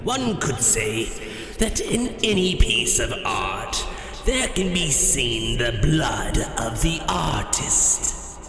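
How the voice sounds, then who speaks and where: An actor playing an ominous, theatrical host who introduces horror tales in a portentous manner. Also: male voice, mid-range voice, sing-song, reverberant, big-room sound, over music. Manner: slow, portentous. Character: ominous, theatrical male horror anthology storyteller. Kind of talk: scripted horror anthology narration.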